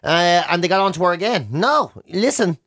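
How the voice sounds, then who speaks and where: A high-pitched Irish man with a Dublin accent swoops up and down as he rants through a funny story. He talks casually, like a radio host bantering with a mate, in a dry, close studio sound. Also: moderate pace, dry recording. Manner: animated, exasperated comic ranting.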